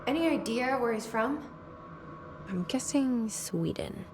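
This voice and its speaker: An actress playing a guarded young woman in a video game, speaking in terse, hushed, confiding phrases. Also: a little high, animated, takes it slowly, background noise. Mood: guarded curiosity. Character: guarded young woman searching for her lost brother. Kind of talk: video game cutscene acting.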